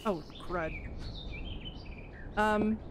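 A young woman casually commentating her Minecraft let's play, excitable and gushing over cute animals, a little hoarse. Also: mid-range voice, drawn-out words, a little hiss or hum. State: slightly hoarse. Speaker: gaming YouTuber.

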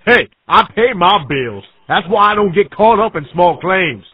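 A man's voice: high-pitched, lively intonation, normal speed, slightly rough, no echo. A man playing a pushy, scolding small-claims court official in a streetwise, informal, confrontational prank call. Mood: irritated, pushy. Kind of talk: pre-recorded prank call acting. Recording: tinny phone line.

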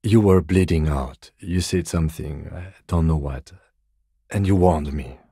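An actor playing a composed, weary police detective in a video game, with dry, deadpan, measured delivery. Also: male voice, a bass voice, husky, no echo, full character voice. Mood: grave, composed.

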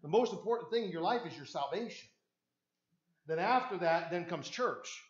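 A man's voice: high voice, lively intonation, moderate pace, lo-fi sound. An older Canadian man preaching a sermon in a church sanctuary, talking conversationally with restarts and frequent "right?" asks, pacing around a podium microphone. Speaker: pastor. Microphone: podium mic, speaker often walking away from it.

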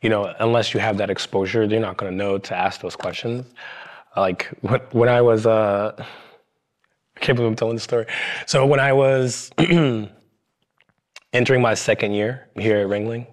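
Young male podcast guest from Ohio, low voice, halting candid storytelling, studio set. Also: steady tone. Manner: halting, many restarts and fillers.

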